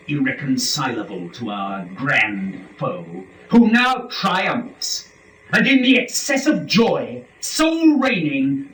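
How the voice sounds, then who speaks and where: In a roomy, distant recording, a proud fallen angel, a male rebel lord of hell, declaiming verse grandly and slowly. The pitch swings widely as the defiant speech swells and drops. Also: fairly bright tone, exaggerated voice. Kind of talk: dramatic reading of epic verse. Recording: roomy, distant mic, faint noise.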